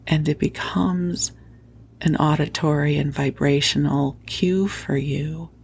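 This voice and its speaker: A woman whispering softly and tenderly into a close microphone as she hosts a spiritual sleep podcast, soothing and affectionate. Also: low-pitched, steady tone, slow pace, a little hiss or hum. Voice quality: hushed, breathy whispering. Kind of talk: whispered guided sleep talk, spiritual meditation. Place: at home under a blanket.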